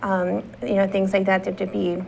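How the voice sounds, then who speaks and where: Gravelly-voiced woman explaining pageant rules. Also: mid-range voice, flat delivery, normal speed, darkish tone, dull audio, slight background noise. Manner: informative, many "um" and "you know".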